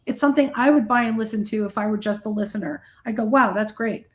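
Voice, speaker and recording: A woman's voice: on the high side, quick pace. A middle-aged woman, a heavy metal musician being interviewed, chatting casually and telling stories in a blunt, self-deprecating way. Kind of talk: casual interview chat, anecdotal storytelling.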